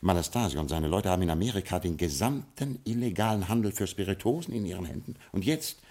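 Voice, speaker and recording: Ruined lord, dry and fatalistic, 1950s radio drama, low husky voice. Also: male voice, lively intonation, quick pace, warm tone. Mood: resigned stately. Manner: stately, absent-minded, dryly fatalistic. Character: ruined, absent-minded Scottish male lord, fatalistic.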